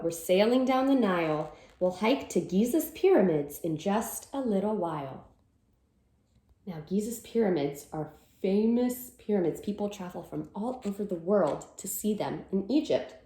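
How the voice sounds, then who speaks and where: A woman teacher reads a children's picture book aloud with widely swinging, animated intonation. Her tone is soft-edged and mellow, sing-song on verses and plain when explaining. The room around her is noticeably echoey. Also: mid-range voice, moderate pace. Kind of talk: read-aloud storytime with simple explanations.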